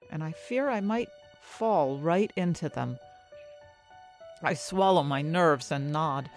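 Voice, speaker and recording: A woman acting an anxious, desperate young heroine who tells her own fantasy story in intense, dramatic first-person narration. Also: lowish voice. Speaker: narrator and main character of an audio fiction story.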